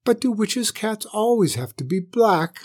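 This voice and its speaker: A woman's voice: very deep voice, very animated, a little slow, mellow, heavily acted. A woman voicing an aging mother cat in a storybook, meek and faltering but politely stubborn.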